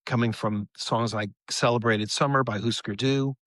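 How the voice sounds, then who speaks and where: A man talking casually as a musician guest on a podcast, effusive and halting, with many fillers. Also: medium pitch, steady tone, fairly bright tone, no room sound, studio quality.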